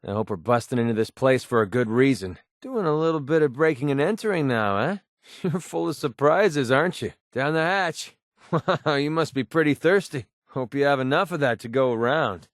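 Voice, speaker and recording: An actor playing a cynical, wisecracking young mercenary in a video game, with a sardonic, casual, streetwise delivery. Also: male voice, medium pitch, lively intonation, moderate pace, no echo, clean studio sound, close to an everyday voice. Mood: amused. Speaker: video game companion character. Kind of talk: video game voice acting, short scripted barks.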